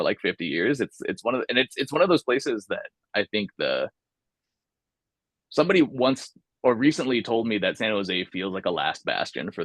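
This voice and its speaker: A man's voice: low voice, fairly bright tone, dry recording. A young man from California, talking casually and thoughtfully in an oral history interview, with many fillers and restarts.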